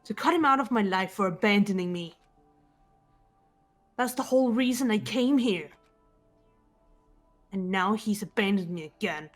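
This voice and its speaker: A woman voicing a composed, guarded teenage girl detective in an amateur game dub, measured and restrained. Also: medium pitch, lively intonation, faint music behind, lightly acted. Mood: hurt, bitter. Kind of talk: amateur voice acting in a visual novel playthrough.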